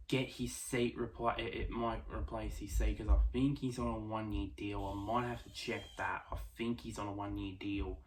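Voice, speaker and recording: Quiet-voiced young man with hesitant, halting talk. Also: on the low side, slight background noise, poor audio. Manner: hesitant, many fillers and restarts; speaking quietly late at night. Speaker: F1 prediction vlogger. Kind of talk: casual solo commentary.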